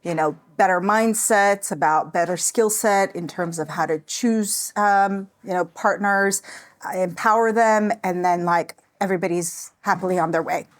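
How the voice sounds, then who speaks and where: A woman's voice: lowish voice, moderate pace. A woman with an American accent explaining things conversationally as an interview guest, in an informal way with plenty of "like" and "sort of". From United States. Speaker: therapist and relationship consultant, interview guest.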